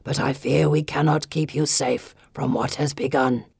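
A woman voicing an ancient male stone gargoyle guardian with a gravelly voice, speaking grave, formal, commanding pronouncements in a storybook reading. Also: steady tone, normal speed, a little mellow, no echo, clean studio sound, exaggerated voice. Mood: grave, solemn. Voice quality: gravelly, deep.

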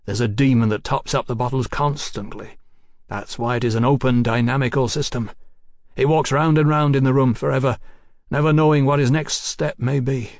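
An earnest, educated gentleman in his cups, played by a male reader. He has a medium-pitched voice and a brooding, pontificating way of talking, recorded dry for a storybook. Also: steady tone, moderate pace, no echo, close to an everyday voice. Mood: intense, rambling.

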